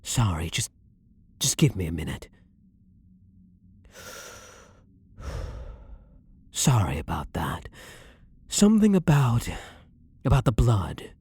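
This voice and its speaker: A man playing a blunt, brooding vampire hunter, himself a vampire, in a measured, dominant ASMR roleplay with a breathy voice. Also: on the low side, huge pitch swings, a little mellow, heavily acted. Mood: shaken, apologetic. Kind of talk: ASMR roleplay, dramatic monologue.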